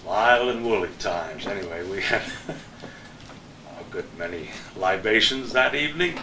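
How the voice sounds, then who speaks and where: A man's voice: fairly low pitch, animated, moderate pace. An older man chatting casually and reminiscing as a guest on a panel of old broadcasting friends. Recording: echoey room, distant mic, clear noise.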